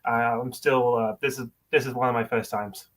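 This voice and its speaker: A man auditioning over a video call, chatting in a nervous, halting and apologetic way. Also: medium pitch, fairly even intonation. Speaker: auditioning actor. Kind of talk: casual, hesitant video-call chat.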